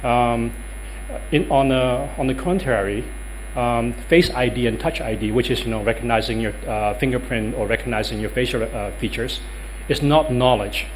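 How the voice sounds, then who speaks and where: A man's voice: medium pitch, normal speed, a little hiss or hum, poor audio. A man in his thirties with a Dutch accent giving a workshop talk in a conference room, hesitant with many ums and uhs. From Netherlands. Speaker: lecturer.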